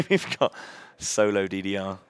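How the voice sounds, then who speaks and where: A man hosting a live charity gaming marathon, loud-voiced and upbeat, chatting between runs with many fillers and restarts. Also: sits low, very animated, unhurried, clean tone, dry recording.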